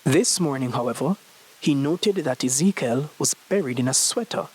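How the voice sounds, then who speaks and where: Story reader: young adult male, medium pitch, scripted literary narration. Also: lively intonation, normal speed, slightly rough, low-quality recording.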